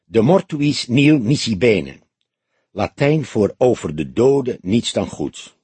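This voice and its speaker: A man voicing a calm, wry old police detective in a measured, dignified audiobook delivery. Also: medium pitch, slightly rough, dry recording, full character voice. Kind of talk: audiobook character dialogue.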